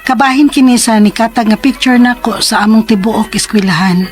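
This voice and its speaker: A woman's voice: a little high, fairly quick, faint music behind, close to an everyday voice. A woman playing an earnest, timid fifteen-year-old schoolgirl in a radio drama. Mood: earnest, troubled.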